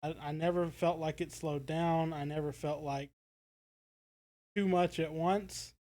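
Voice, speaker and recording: A man co-hosting a podcast in a casual review chat, thinking aloud in halting phrases peppered with "like". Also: a little high, steady tone, dark tone. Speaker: podcast co-host.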